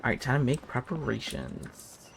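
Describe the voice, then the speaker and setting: A woman's voice: very deep voice, very animated, moderate pace, creaky, a little hiss or hum. A woman live-streaming a game, giving playful, goofy, exclamatory commentary.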